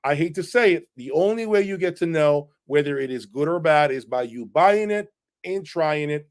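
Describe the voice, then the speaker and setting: A man's voice: a little high, darkish tone. A man hosting an unscripted audio review livestream, talking in an emphatic, opinionated way and often checking in with "okay?". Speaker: audio equipment reviewer and channel host. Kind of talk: unscripted livestream talk answering viewers' questions.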